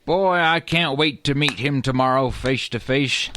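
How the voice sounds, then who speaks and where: A man's voice: medium pitch, a little slow, sharp tone, a little hiss or hum. An actor playing a pompous, fussy Old West tool collector in a comedy radio play, boastful and over-precise in his wording. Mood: smug, self-important.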